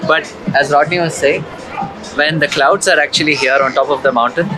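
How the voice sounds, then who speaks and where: A man's voice: a little high, a little fast, music in the background, poor audio. A man giving casual, explanatory travel commentary as a vlogger outdoors. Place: outdoors on city streets and waterfront.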